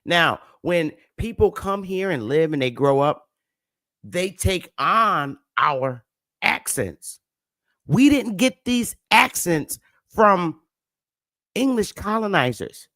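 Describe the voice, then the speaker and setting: Slow, emphatic Northern US woman. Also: lowish voice, lively intonation, fairly bright tone, dry recording, clean studio sound. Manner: preachy, emphatic, repeats points and asks chat to respond. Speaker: livestream host. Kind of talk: livestream talk to viewers.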